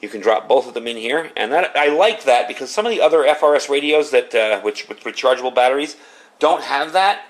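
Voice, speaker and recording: Male product reviewer, medium pitch, casual and conversational, explains things plainly without a script. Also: animated, a little fast.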